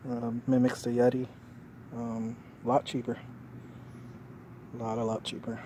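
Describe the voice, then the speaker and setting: A man's voice: mid-range voice, monotone, a little slow, dark tone, a little hiss or hum. A middle-aged man casually showing his homemade camping trailer, talking in a loose, rambling way with many fillers, filmed outdoors on a handheld phone. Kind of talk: casual walkthrough. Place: outdoors on a residential lawn. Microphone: phone camera held in hand.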